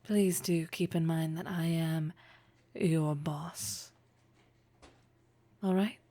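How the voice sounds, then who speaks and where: A woman playing a poised, teasing, wealthy female boss in an intimate, breathy audio roleplay, speaking with deliberate, flirtatious formality. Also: low voice, fairly even intonation, dark tone, exaggerated voice. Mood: playful, admonishing. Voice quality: breathy, husky.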